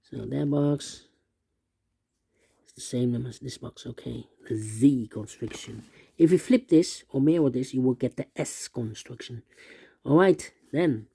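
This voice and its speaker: A man hosting an unscripted step-by-step puzzle tutorial, speaking haltingly and often repeating his words and phrases. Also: mid-range voice, gravelly, mellow, slightly rough. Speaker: puzzle instructor, host.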